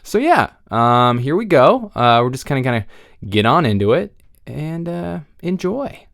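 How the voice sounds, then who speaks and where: A man's voice: fairly high pitch, sing-song, a little slow, gravelly, fairly bright tone. A man hosting a voice video in a casual, playful vlog style. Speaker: host of a voice-impression video.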